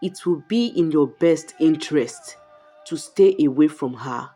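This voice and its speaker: Woman voicing a grave, worried male companion, lowish pitch. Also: unhurried, mellow, husky, faint music behind. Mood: grave, urgent. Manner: urgent, grave. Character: worried male companion, cautious, warning his friend.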